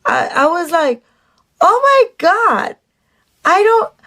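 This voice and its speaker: A woman with a Mexican Spanish accent, chatty and animated, telling a dating story to her phone camera with many restarts. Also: very high voice, slow pace. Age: adult. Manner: slips into Spanish "dije". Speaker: dating vlogger.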